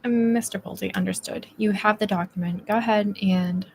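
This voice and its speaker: Lady reading as a lawyer in a deposition, polite, procedural, normal pitch, bit of background noise. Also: steady tone, normal speed, gravelly, a little mellow, close to an everyday voice. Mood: polite, firm. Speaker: witness's counsel. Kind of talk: read-aloud deposition transcript.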